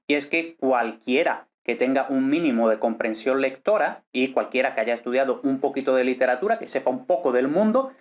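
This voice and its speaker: Emphatic man lecturing. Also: medium pitch. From Spain. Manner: emphatic, scornful of bad arguments. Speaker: philologist and educational video host. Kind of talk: prepared explanatory video lecture.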